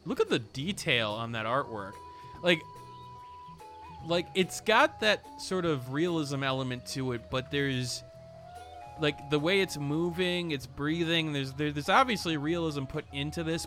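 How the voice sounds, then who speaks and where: A man's voice: on the high side, expressive, moderate pace, a bit bright, faint music behind. A man giving casual, off-the-cuff gameplay commentary, rambling and interrupting himself as he thinks aloud. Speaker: gaming video host. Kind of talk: casual off-the-cuff let's play commentary, reading game text aloud.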